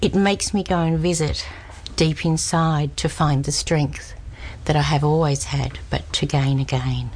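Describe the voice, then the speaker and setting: An older woman with a very low voice speaks earnestly and at a measured pace, sharing with the group. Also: fairly even intonation, darkish tone, slight background noise. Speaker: group member.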